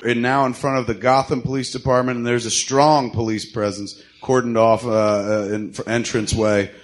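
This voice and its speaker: A man narrating scenes casually, in a halting way as if searching for words. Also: mid-range voice, little pitch movement, a little hiss or hum.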